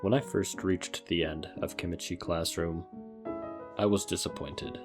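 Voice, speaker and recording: A man with a notably low voice narrates in a scripted storytelling style. He is presenting a review of a game, and music plays prominently behind him. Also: fairly even intonation, measured pace. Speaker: video narrator reviewing a visual novel. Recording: music under the voice. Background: background music.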